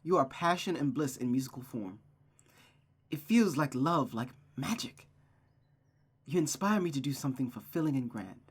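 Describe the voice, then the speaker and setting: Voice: medium pitch, animated, moderate pace, pauses often, breathy edge. A performer voicing an earnest, dreamy young woman in a novel read aloud, speaking sincerely. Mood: adoring.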